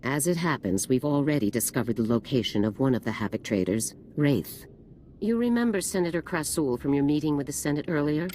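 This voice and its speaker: An actor voices a stern commanding officer in a video game, giving orders in a brisk, authoritative tone. The voice moves freely up and down in a middle register, with a faint hiss in the background. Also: mid-range voice, darkish tone, close to an everyday voice. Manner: brisk, authoritative military briefing.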